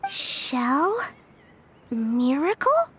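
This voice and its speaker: A woman voicing a newborn little origami girl creature in a video game, innocent and halting, with a small childlike voice. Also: high-pitched, wildly swooping pitch, a bit bright, a little hiss or hum, full character voice. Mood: puzzled. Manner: halting, echoing words in short fragments. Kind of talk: video game character acting.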